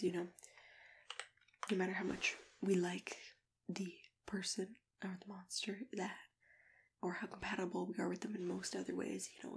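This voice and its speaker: A woman speaking softly and unhurriedly in an ASMR matchmaker roleplay, gently drifting in and out of whispers. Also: medium pitch, vocal fry, background noise. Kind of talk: ASMR roleplay, soft-spoken chat with whispering. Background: computer keyboard typing and clicking.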